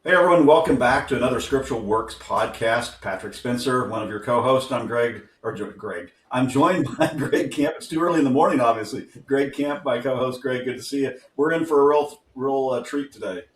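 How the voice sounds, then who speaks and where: A man's voice: mid-range voice, a little fast, few pauses. Brief: male co-host, casual and rambling, roomy far-off recording. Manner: easygoing and rambling, with frequent fillers and self-corrections. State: groggy. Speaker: podcast co-host. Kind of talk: casual interview talk. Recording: roomy sound from a distant mic.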